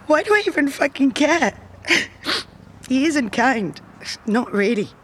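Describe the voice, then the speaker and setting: A woman's voice: high voice, animated, unhurried, slight background noise. An actress playing a guarded, vulnerable woman in a naturalistic audio drama, hesitant in manner. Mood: bitter, upset. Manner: hesitant, sighing.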